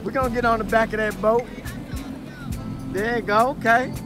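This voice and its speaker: A man's voice: extremely high pitch, steady tone, moderate pace. A young American man giving casual vlog commentary, hyping things up and repeating his phrases. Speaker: vlogger. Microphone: handheld phone. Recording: loud music over outdoor phone recording. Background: loud music.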